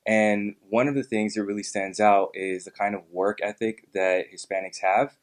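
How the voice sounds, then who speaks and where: A young man from Miami answering interview questions in a measured way, with frequent ums and uhs. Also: fairly low pitch, fairly even intonation. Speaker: guest, political club officer.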